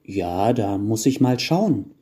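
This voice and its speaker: A man voicing a good-natured, unhurried carpenter in a storybook tale. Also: mid-range voice, animated, dark tone, close to an everyday voice. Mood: good-natured, pondering.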